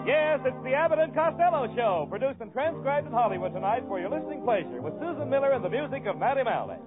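A man announcing a 1940s radio show with showy, drawn-out introductions. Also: extremely high pitch, very animated, phone-quality sound. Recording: thin vintage radio recording. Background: loud brassy band music.

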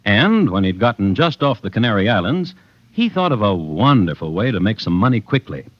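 An actor playing a measured, wry crime storyteller, narrating deliberately and dramatically as host of a 1950s radio drama. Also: male voice, on the low side, big pitch swings, dry recording. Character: measured, wry male crime storyteller hosting from his files. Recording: dull vintage radio recording, music behind.

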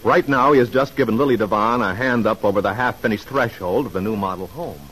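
A man's voice: lowish voice, fast talker, a bit bright. A man narrating an old-time radio serial from a script, on a faintly noisy vintage recording.

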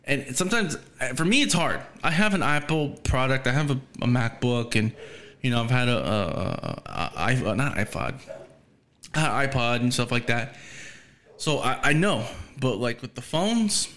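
A man's voice: medium pitch, animated, normal speed, a bit bright. A man hosting a podcast in casual chat, rambling with lots of fillers, slang and restarts. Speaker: podcast host.

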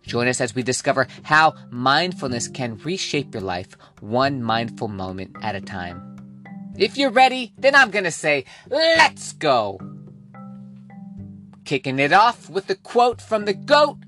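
A man's voice: medium pitch, very animated, unhurried, pushed and bright, faint music behind. A man hosting a motivational podcast, delivering a scripted monologue in a hyped, playful way and drawing out key words for drama. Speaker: podcast host.

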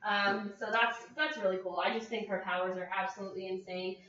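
A woman co-hosting a podcast, chatting animatedly in a high-pitched voice. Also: a little fast. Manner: animated, bursts into song snippets. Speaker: podcast co-host. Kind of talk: casual chat. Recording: echoey, far from mic, dull lo-fi, faint hiss.

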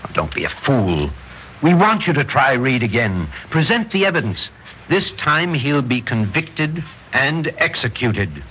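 An actor with an American accent playing a domineering, scheming middle-aged political boss, smooth and insistent, in classic radio drama style. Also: male voice, mid-range voice, sing-song, measured pace. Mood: forceful, urgent. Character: domineering, scheming older political power broker, the Major. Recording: muffled old radio recording, faint hiss.

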